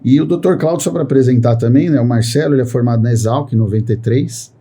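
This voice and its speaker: A man's voice: mid-range voice, quick pace, mellow. A man hosting a podcast, speaking in long rambling sentences that he keeps tagging with filler questions. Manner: rambling, constant "né" tag fillers. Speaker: podcast host. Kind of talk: podcast conversation.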